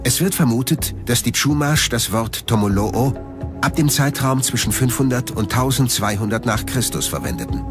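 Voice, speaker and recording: A man's voice: mid-range voice, animated, moderate pace. A man reading scripted documentary narration. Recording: music behind the voice. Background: background music.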